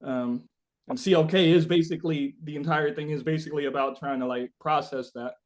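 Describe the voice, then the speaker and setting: A man's voice: on the high side, fairly even intonation, brisk. A man being interviewed as a musician, talking casually and reflectively, thinking aloud in a rambling, philosophical way.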